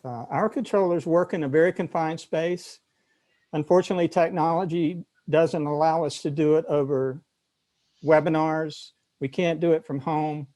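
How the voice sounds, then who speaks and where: A man's voice: fairly high pitch, steady tone, moderate pace, mellow. A man, a company executive, answering questions in a news interview.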